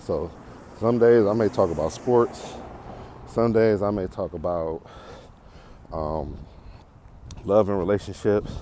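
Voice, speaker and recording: American man, forties, very deep voice, casual rambling monologue, reflective, halting, noisy outdoor walking recording. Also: dark tone. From Detroit area, United States. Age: 40s. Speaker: podcast host.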